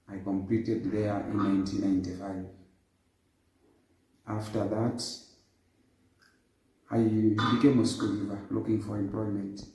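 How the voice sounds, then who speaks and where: A man in his fifties giving a formal interview, recounting his background in a measured way. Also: on the low side, dark tone. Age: 50s. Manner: measured, formal, lists dates and places. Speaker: interview guest. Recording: echoey, distant room sound.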